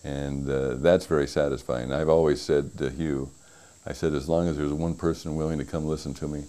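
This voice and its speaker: A man's voice: extremely low pitch, fairly even intonation, moderate pace, dark tone. A man in his sixties chatting casually outdoors about hosting an old-time radio show, folksy and hesitant, on a lapel mic. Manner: modest, folksy and hesitant with many restarts. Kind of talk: casual interview chat. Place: shaded outdoor patio.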